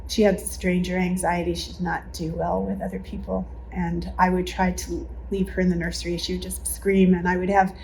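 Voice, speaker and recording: A woman, a longtime church member, telling a personal story in an interview in an earnest, measured way. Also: fairly low pitch, little pitch movement, vocal fry, a little mellow, slight background noise, rough recording.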